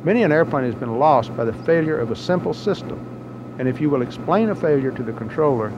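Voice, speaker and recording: A dull, muffled tape with clear noise carries a man's voice. He narrates a scripted training lesson for pilots in a plain-spoken, explanatory way. His pitch sits in the middle of the male range.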